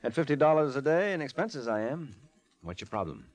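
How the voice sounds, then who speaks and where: An actor playing a brash, sardonic hard-boiled private detective in an old radio drama, on a noisy vintage recording. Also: male voice, mid-range voice, very animated, fairly quick. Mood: brisk, wry. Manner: clipped, sardonic, probing. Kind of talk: 1940s radio detective acting.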